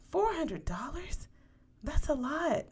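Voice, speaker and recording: A woman's voice: sits high, big pitch swings, slow, darkish tone, voice pushed up, exaggerated voice. Woman as polite teen girl, modest, hesitant replies, storybook dialogue. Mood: surprised, hesitant. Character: modest, hesitant teenage schoolgirl, polite and surprised.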